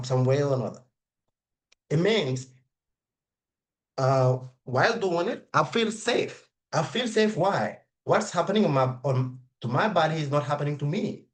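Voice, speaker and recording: A man whose first language is French, speaking English on a video call with a French accent and halting, with many restarts and repeated words as he reflects aloud. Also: mid-range voice. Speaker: webinar participant. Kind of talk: reflective spoken comment.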